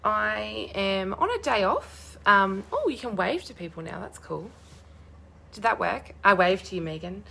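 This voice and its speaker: A young woman with a hoarse, croaky voice chatting bubbly on a live stream, greeting viewers by name. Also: medium pitch, animated, a little slow, sharp tone. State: hoarse, losing her voice. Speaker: crafting demonstrator hosting a live stream.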